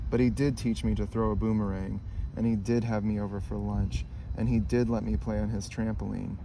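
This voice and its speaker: A man reading a children's picture book aloud in a calm, even storytelling manner. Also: lowish voice, brisk, gravelly, background noise. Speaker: storybook reader.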